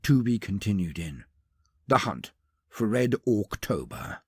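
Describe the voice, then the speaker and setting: A man's voice: fairly low pitch, sing-song, unhurried, darkish tone, slightly rough, no echo, studio quality. A man reading scripted fantasy narration as a storyteller, theatrical and emphatic, in short weighted phrases.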